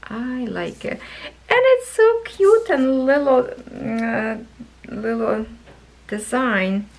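A woman hosting a craft vlog, chatting slowly through a cross stitch kit review, voice going hoarse, recorded at a table indoors. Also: fairly high pitch, expressive, poor audio. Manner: chatty, thinking aloud, soft and slow. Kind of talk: casual product review vlog. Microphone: camera over a table, hands only in frame.

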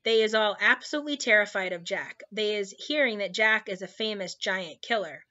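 A woman's voice: medium pitch, moderate pace, bright, forceful tone, muffled recording, natural voice. A woman voicing a friendly, cautious male giant in a storybook, explaining things in folksy broken grammar. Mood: hushed, explaining.

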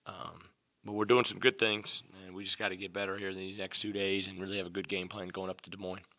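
A middle-aged man, a basketball coach, answering questions at a postgame press conference from behind a desk mic, measured and full of "um" and "you know". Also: sits low, little pitch movement, vocal fry, sharp tone. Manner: measured, lots of "um" and "you know", repeats phrases. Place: press room, seated at a table before a logo backdrop. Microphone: desk mic on a stand in front of him.

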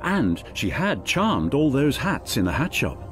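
A man's voice: medium pitch, huge pitch swings, a little slow, soft background music. A man acting as the storyteller, giving scripted narration in an audio drama. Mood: storytelling. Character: storyteller narrating a fantasy tale.

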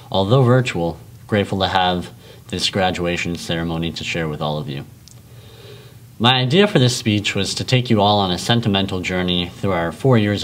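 Earnest young man giving a graduation speech. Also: deep voice. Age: young adult. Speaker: valedictorian. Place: school library.

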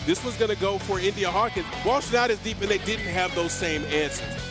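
A middle-aged man analyzing basketball highlights on a headset mic in a studio, often restarting and repeating words as he explains. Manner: halting, repeats words while explaining plays. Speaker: color analyst. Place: studio set. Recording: music bed behind.

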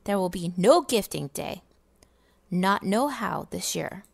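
A woman's voice: on the low side, expressive, slow, creaky, no echo, full character voice. Woman reading as a grumpy growling goblin. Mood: sneering. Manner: sneering, bossy. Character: grumpy, snarly rich male goblin who hates holidays.

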